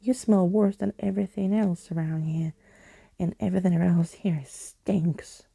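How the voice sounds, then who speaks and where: A defiant, cheeky monster girl from a storybook, read by a woman. She taunts with a sharp tongue in a mellow, husky undertone. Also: lowish voice, fairly even intonation, a little slow, no room sound, heavily acted. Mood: disgusted, cheeky.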